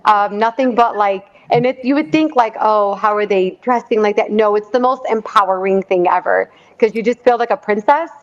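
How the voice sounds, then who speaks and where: A bubbly woman talks as a guest over a video call and rambles from story to story. Her pitch is mid-range, and the audio sounds dull and lo-fi, with the highs cut off. She fills her pauses with frequent ums. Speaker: nurse and show guest. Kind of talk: casual chat.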